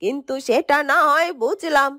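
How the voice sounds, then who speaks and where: A woman voicing a scheming, greedy younger sister of a witch in a fairy-tale cartoon, fretful and excitable. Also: high-pitched, moderate pace, no echo, clean studio sound. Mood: puzzled questioning.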